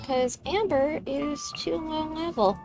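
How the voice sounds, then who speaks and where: Slow-talking woman game streamer. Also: high voice. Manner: relaxed, unhurried asides to viewers. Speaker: gaming streamer. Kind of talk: casual gameplay commentary. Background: game music.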